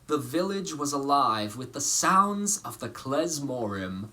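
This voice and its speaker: A man reading a children's story aloud as an actor, with deliberate, theatrical phrasing and dramatic emphasis. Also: mid-range voice, sing-song, bright, forceful tone. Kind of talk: expressive storybook narration.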